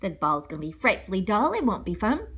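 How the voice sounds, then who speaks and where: A woman voicing a sweet, gentle young heroine in a read-aloud storybook, in a soft, high voice. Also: very animated, fairly quick, slightly rough, heavily acted. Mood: sweet, hopeful.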